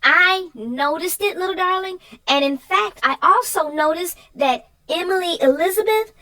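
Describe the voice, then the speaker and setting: A woman co-host chatting casually and agreeably in an unhurried way about a children's story. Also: very high-pitched, sharp tone.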